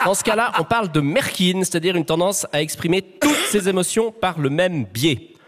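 A man's voice: high-pitched, expressive, normal speed, a bit bright. A man delivering a humorous definition as a comedy panelist on a game show. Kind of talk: performed humorous definition to an audience. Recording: reverberant hall with a live audience.

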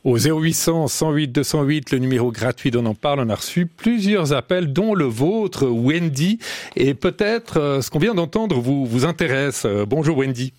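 A man hosting a radio talk show, taking callers in a friendly, brisk way. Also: fairly high pitch, animated, darkish tone, no echo. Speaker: radio host.

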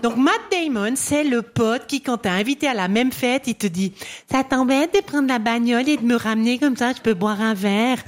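A woman comedian telling a comic bit on a panel show, landing slow, punchy punchlines. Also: fairly high pitch. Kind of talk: comic storytelling.

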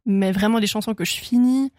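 A woman's voice: medium pitch, brisk, darkish tone, dry recording, clean studio sound. A young Swiss woman whose first language is German, a singer chatting casually in a radio interview, laughing often and full of fillers and restarts. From Switzerland. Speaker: guest singer-songwriter. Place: radio studio.

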